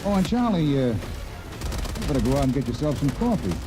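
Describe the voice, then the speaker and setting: An actor playing a concerned, informal commanding officer in a 1950s science fiction radio drama, talking a little hesitantly. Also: male voice, mid-range voice, big pitch swings, moderate pace, music in the background, natural voice. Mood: uneasy, kindly. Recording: old-time radio broadcast with background sound.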